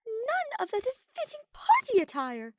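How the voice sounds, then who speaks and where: A woman's voice: extremely high pitch, wildly swooping pitch, moderate pace, dry recording, studio quality, pitched above the performer's own voice, heavily acted. A woman voicing an eager, encouraging young female mage with an emphatic, theatrical way of talking, in a fan fiction reading. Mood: excited, insistent.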